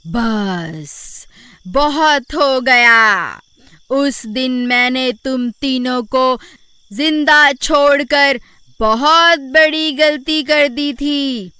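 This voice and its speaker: A woman voicing a vengeful bald witch in a cartoon horror story, with drawn-out, threatening delivery. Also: sits high, sharp tone, clean tone, no room sound, pitched above the performer's own voice, full character voice. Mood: menacing. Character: menacing bald female witch, vengeful yet able to relent.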